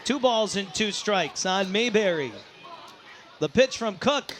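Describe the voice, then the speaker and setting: High-pitched male baseball play-by-play announcer. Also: takes it slowly, very noisy. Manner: excitable, shouts on big plays. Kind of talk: live sports commentary. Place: outdoor baseball ballpark.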